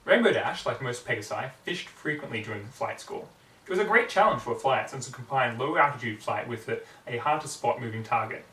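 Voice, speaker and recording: A man reading a story aloud with hammy, expressive narration, recorded in a roomy space away from the microphone. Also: medium pitch, brisk. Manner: hammy, theatrical. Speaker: amateur story reader. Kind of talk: expressive fan fiction narration. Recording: roomy echo, distant microphone.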